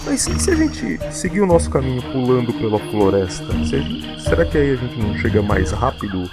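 A man's voice: medium pitch, big pitch swings, fairly quick, over loud music, close to an everyday voice. A man playing a sceptical, sarcastic frog brother in a cartoon comedy, with a dry, conversational delivery. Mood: musing.

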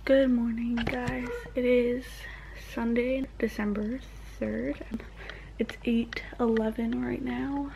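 A teenage girl vlogging casually into a phone held at arm's length, chatty with lots of fillers, often speaking softly. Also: fairly high pitch, little pitch movement, slow pace, gravelly, background noise. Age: teens. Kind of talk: casual vlog chat. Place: home, car and outdoors.

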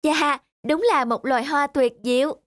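A woman's voice: high voice, fairly even intonation, moderate pace, a bit bright, no room sound, clean studio sound. A woman voicing an earnest, polite young flower lover in a children's cartoon, with music behind her. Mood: dreamy, admiring.

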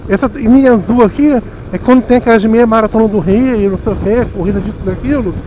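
A high-pitched man with a Rio de Janeiro accent talks to his viewers through a helmet mic as he rides a motorcycle through city traffic. He is chatty and mocks himself. Faint wind and road noise run under his voice. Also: fast talker, a little mellow, low-quality recording. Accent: Rio de Janeiro Portuguese. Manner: chatty, self-mocking, talks to viewers directly. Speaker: motovlogger. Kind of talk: casual running commentary while riding.

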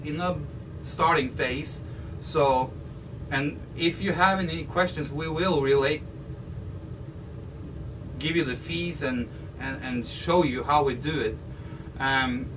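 A middle-aged man explaining his shop's shipping service to camera, rambling with frequent restarts, in a room full of audio gear. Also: on the high side. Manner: rambling, restarts often, gestures while talking. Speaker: audio shop owner. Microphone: camera across the room. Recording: distant mic with faint room noise.

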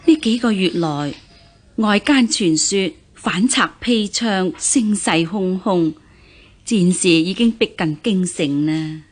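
Middle-aged mother, Cantonese, worried, gentle, sighing, radio drama. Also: fairly low pitch, slow pace, soft background music. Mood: anxious. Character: worried gentle mother, lady of an official household.

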